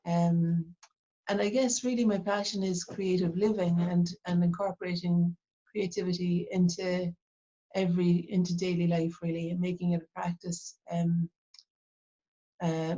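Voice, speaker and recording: A middle-aged woman with an Irish accent from Cork, talking reflectively in an interview and pausing often with fillers and restarts. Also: on the low side, flat delivery, warm tone, muffled recording. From Ireland. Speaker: artist, interview guest. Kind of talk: casual interview talk.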